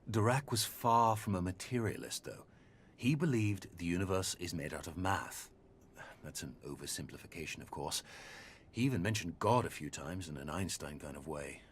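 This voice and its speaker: A man plays an earnest, measured researcher with a very deep voice. He talks articulately and deliberately, as in an intellectual audio drama. A faint rumble sits in the recording. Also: lightly acted. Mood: analytical. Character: measured, earnest male researcher debating philosophy.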